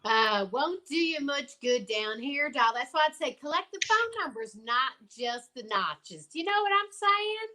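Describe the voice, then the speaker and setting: Woman as droll, drawling theatrical photographer, bright-voiced. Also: high-pitched, animated, unhurried, hardly any pauses, exaggerated voice. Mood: arch, droll. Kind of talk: old-time radio comedy acting.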